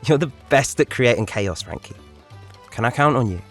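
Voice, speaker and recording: A man's voice: mid-range voice, lively intonation, normal speed, a bit bright, soft background music. A man playing a responsible, scheming thirteen-year-old leader of street urchins in an audio drama, firm and reasoning. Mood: instructing.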